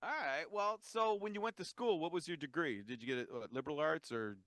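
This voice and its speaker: A man's voice: fairly high pitch, a little fast, a bit bright. A middle-aged man from around Detroit, co-hosting a casual chat and asking joking, friendly questions. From Detroit area. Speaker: podcast co-host.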